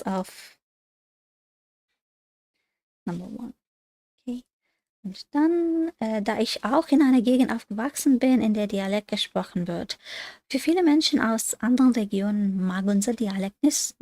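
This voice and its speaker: A woman studying German on a livestream, reading workbook exercises aloud and thinking out loud in as she checks her answers. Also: medium pitch, no echo. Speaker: language learner studying on a livestream.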